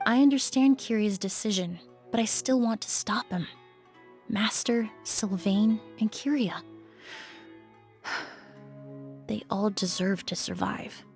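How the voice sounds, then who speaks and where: A woman's voice: mid-range voice, slow pace, pauses often, darkish tone, soft background music. An actress playing a composed, analytical young woman investigator in a video game, earnest and articulate. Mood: earnest, resolute. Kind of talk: video game character acting.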